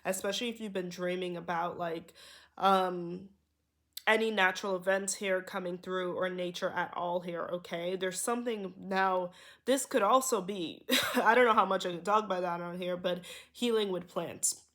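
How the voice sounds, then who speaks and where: A woman's voice: on the low side, fairly even intonation, moderate pace, a bit bright. A woman with a nasal voice, a little under the weather, giving a spiritual card reading to her viewers in a reassuring way, often checking in with "okay?". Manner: reassuring, repeats "okay?" and "here". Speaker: tarot reader.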